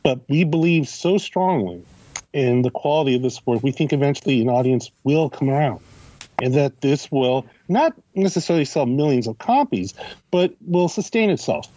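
A man's voice: medium pitch, animated, moderate pace, dark tone, no echo, muffled recording. A man speaking as a podcast guest and critic, explaining in conversation and often posing questions as he goes. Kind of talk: conversational interview commentary.

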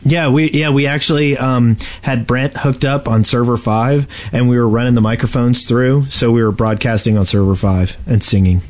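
A male podcast co-host with a medium-pitched voice. His talk is casual and playful, like banter among friends. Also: steady tone, normal speed, hardly any pauses, darkish tone. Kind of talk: casual chat.

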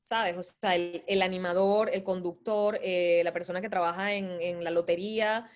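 A woman from Venezuela with a Venezuelan accent, a podcast guest talking in a reflective, measured way. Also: lowish voice, fairly even intonation. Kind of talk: casual conversational interview.

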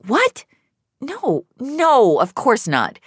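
A woman's voice: high voice, wildly swooping pitch, drawn-out words, slightly rough, no room sound, studio quality, pitched above the performer's own voice, heavily acted. A woman voicing an anxious, blurting female agent in an audio drama. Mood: flustered. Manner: blurting, emotional, swearing. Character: foul-mouthed, anxious female dream-deleting agent.

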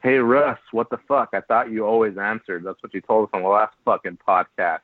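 A man's voice: fairly low pitch, steady tone, fairly quick, no room sound. Man, podcast co-host, swearing and joking, phone-like tinny audio. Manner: crude, joking. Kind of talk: casual chat.

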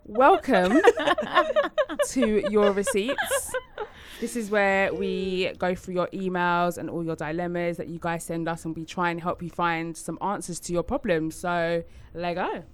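A woman from Ghana co-hosting a casual podcast chat, playful and quick to laugh as she opens the show. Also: fairly low pitch, expressive. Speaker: podcast co-host. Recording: loud hiss behind the voice.

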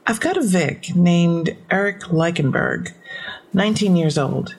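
A woman's voice: fairly low pitch, lively intonation, measured pace, breathy edge, full character voice. A woman acting a businesslike police detective in an audio drama, speaking in a matter-of-fact, slightly awkward way. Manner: matter-of-fact, clipped case briefing.

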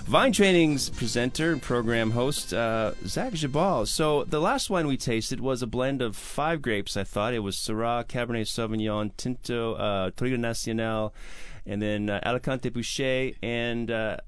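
A man hosting a radio talk show about wine, with an upbeat, lively delivery. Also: medium pitch, normal speed, clean tone, no echo, studio quality, soft background music.

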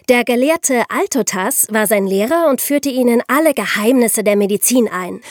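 A woman's voice: a little high, no echo, clean studio sound. A woman, co-hosting a podcast and telling history in a conversational storytelling style. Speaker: podcast co-host.

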